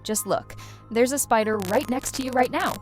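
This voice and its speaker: A woman's voice: fairly high pitch, moderate pace, soft background music. A woman hosting a video with casual, playful, exaggerated commentary for young viewers. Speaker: host of a reaction video channel.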